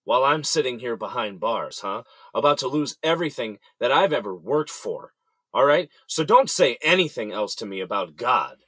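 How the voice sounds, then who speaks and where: A man's voice: mid-range voice, lively intonation, moderate pace, sharp tone, husky, no echo. A man playing a bitter, proud, grieving father behind bars in an audio drama, speaking in a pointed way. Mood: bitter, angry. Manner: pointed, rhetorical.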